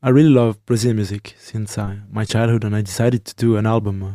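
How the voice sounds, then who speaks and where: A young man from France who speaks natively, talking haltingly in an interview, searching for words with many pauses. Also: fairly low pitch, fairly even intonation, warm tone, dry recording, studio quality. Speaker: musician guest. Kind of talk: interview answers.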